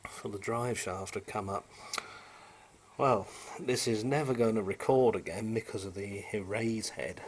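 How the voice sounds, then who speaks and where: A British man casually talking through a repair, unscripted, in a rambling, wryly understated way. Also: fairly low pitch. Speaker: hobbyist repairer and presenter. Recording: noisy amateur camera sound.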